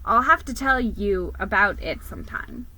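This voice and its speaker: A woman giving a theatrical, expressive reading of a children's story as its narrator. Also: medium pitch, moderate pace, no room sound, rough recording. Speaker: story reader.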